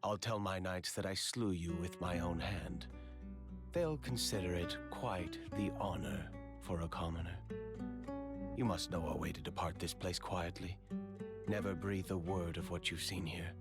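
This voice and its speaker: A very deep, slow-spoken knight commander. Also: male voice, loud music behind the voice. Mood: calm, lenient. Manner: measured, formal, archaic diction. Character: grave, courteous commander of royal knights, coolly resolute. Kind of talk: dramatic video game voice acting.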